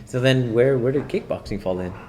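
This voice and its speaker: A man's voice: medium pitch, a little fast, darkish tone, slight background noise, low-quality recording. A male podcast co-host with a mid-range voice and an easygoing way of talking. He chats freely and keeps the talk moving. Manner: easygoing, chatty. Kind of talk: casual podcast chat.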